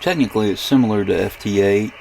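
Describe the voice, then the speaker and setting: A man giving an informal, unhurried screen demonstration, thinking aloud as he goes, recorded inside a camper. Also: fairly low pitch, darkish tone, faint music behind, rough recording. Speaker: ham radio demonstrator and channel host. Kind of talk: casual screen-demo narration. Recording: clear background electrical and radio noise. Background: radio interference noise.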